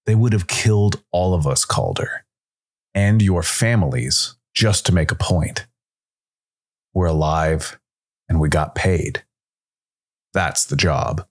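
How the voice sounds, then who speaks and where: A man's voice: deep voice, slow, long pauses, exaggerated voice. A man voicing a jaded veteran bounty hunter in a science fiction story, terse, resigned and matter-of-fact. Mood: cold, unapologetic. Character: jaded, unrepentant male smoker, veteran space bounty hunter.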